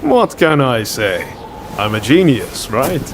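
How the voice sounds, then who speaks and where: A man's voice: medium pitch, big pitch swings, slow pace, slight background noise. An actor playing a cocky, scheming swordsman in a samurai game, talking in a breezy, persuasive way. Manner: breezy, cajoling.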